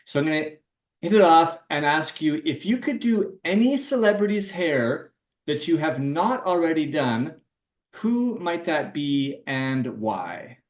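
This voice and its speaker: A man's voice: a little high, very animated, a little slow, echoey room, recorded from a distance. A man hosting a podcast, eager and talkative, circling his questions with fillers. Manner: enthusiastic, rambling with fillers and restarts. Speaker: podcast host. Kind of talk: casual podcast interview.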